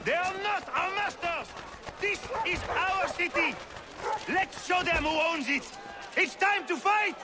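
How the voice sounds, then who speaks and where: A man's voice: very high voice, a little slow. An actor playing a hot-tempered, vengeful French colonial man with a French accent in a video game, ranting and slipping into French. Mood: rousing, defiant. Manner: impassioned, ranting, slips into French. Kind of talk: video game cutscene acting.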